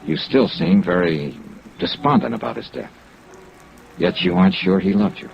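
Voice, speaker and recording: Deep, gravelly radio detective. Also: male voice, normal speed, exaggerated voice. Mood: calm, probing. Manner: wry, understated, laconic. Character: smooth, hard-boiled male insurance investigator, coolly probing. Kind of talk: 1950s radio detective drama acting and narration. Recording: muffled vintage radio recording, steady rain noise.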